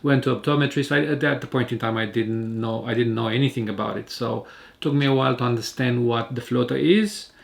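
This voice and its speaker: A man in a casual home vlog, talking in a calm, measured voice with a gravelly edge. He pauses often, restarts his sentences and fills gaps with "uh" and "um" as he works through his thoughts. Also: medium pitch. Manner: calm, hesitant, many fillers and restarts. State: recovering from eye surgery. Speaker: patient sharing his surgery experience. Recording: home video recording.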